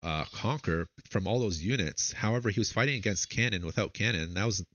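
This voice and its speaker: A man casting a strategy game tournament, chatting with a co-caster and interviewing a player, with frequent drawn-out fillers. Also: lowish voice, fairly bright tone, highs cut off, slight background noise. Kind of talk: live game commentary and interview.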